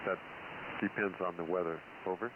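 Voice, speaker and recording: Low-voiced man over hissy shortwave radio. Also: fairly even intonation, normal speed. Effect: received over radio. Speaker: amateur radio operator. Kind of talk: ham radio calling and casual exchange. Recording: noisy narrow-band shortwave radio with hiss.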